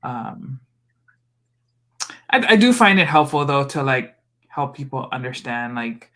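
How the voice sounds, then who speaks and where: A woman's voice: extremely low pitch, sing-song, a little slow, fairly bright tone. A woman from Hawaii hosting a podcast, chatting casually in an informal, reflective and meandering way. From Hawaii, USA. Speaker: podcast host. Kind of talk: casual chat.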